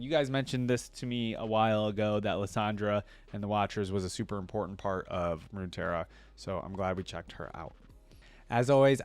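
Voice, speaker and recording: A man's voice: medium pitch, dry recording. A man hosting reaction videos, chatting casually to viewers in a rambling, musing, enthusiastic fan style. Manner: rambling, musing, often hedging with "like" and "you know".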